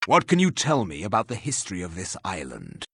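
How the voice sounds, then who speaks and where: An actor playing a proud, grim resurrected warrior in a fantasy game, speaking formally and curtly with a sardonic edge. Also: male voice, low-pitched, wildly swooping pitch, a little fast, fairly bright tone, a little hiss or hum, heavily acted.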